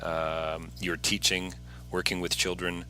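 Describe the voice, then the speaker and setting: Deep-voiced, bright male podcast interviewer. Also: level tone, slow pace, faint music behind. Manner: earnest, encouraging. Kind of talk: casual conversational interview.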